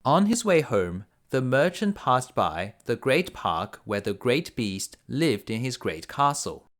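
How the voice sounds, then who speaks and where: Very expressive male bedtime storyteller. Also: mid-range voice. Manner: expressive, deliberate phrasing. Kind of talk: reading a fairy tale aloud to children.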